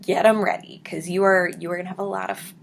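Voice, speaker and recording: A young woman with a deep, raspy voice, chatting casually to her viewers at home and slipping into soft whispers. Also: fairly even intonation, fast talker, slight background noise. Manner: soft-spoken, drifts into whispers. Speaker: vlogger. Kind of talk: casual chat reading viewer comments.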